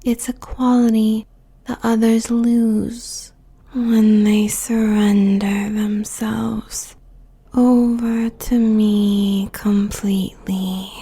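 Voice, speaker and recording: A woman playing a seductive, possessive demon queen succubus in an intimate roleplay monologue, whispering breathily with deliberate, sensual pauses. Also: mid-range voice, little pitch movement, warm tone, husky, heavily acted.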